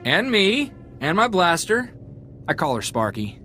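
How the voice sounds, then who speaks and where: An actor playing a jaunty, loyal gunslinger sidekick who wisecracks in a video game. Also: male voice, sits high, sing-song, a little slow, sharp tone, exaggerated voice. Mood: amused, jaunty. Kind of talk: video game character acting. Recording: music under the voice.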